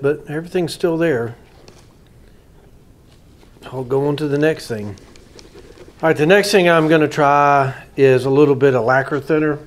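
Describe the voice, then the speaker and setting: Man casually talking through his workshop project. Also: mid-range voice. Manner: plain-spoken, thinking aloud as he works. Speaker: hobbyist restorer narrating his repair. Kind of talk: casual hands-on workshop commentary.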